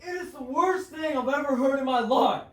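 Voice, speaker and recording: High, timid robber henchman, played by a teenager. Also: moderate pace, a bit bright. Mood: whining fearful. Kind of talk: classroom reader's theater. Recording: echoey classroom, distant mic.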